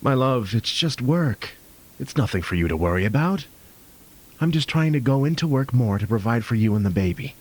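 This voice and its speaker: Male actor for audio drama husband: affectionate, smoothly reassuring, slightly evasive, average male pitch. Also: expressive, moderate pace, darkish tone, close to an everyday voice. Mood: placating. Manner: affectionate, placating. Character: smooth, reassuring young husband with something to hide.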